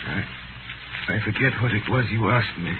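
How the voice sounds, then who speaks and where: An actor playing a bewildered, haunted old prisoner in a 1930s radio drama, faltering and repeating himself. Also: male voice, lowish voice, moderate pace, narrow, tinny audio, lightly acted. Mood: dazed, vacant. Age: older. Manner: hesitant, vacant, repeats himself. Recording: scratchy, noisy 1930s radio recording.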